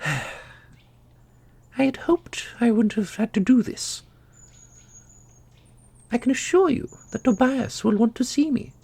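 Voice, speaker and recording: A woman's voice: fairly high pitch, animated, takes it slowly, creaky, pauses often, warm tone, slightly rough, no room sound, heavily acted. A woman playing an ancient, secretive divine custodian in a fantasy audio roleplay, knowing, theatrical and condescending. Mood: commanding.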